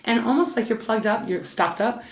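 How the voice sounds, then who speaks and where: A woman's voice: fairly high pitch, normal speed, roomy sound. Chatty woman with a scratchy voice. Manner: chatty, soothing, addresses listener as Saggy. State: slightly scratchy, stuffed-up voice. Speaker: tarot reader. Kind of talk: casual monthly tarot reading.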